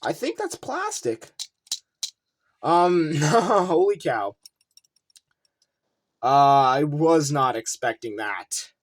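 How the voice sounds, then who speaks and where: Casual unboxing host, medium pitch, rambling, thinking aloud, faint background noise with clicks. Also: big pitch swings, extremely slow, pauses often. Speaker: toy car collector and unboxing video host. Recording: noisy room with clicking handling sounds.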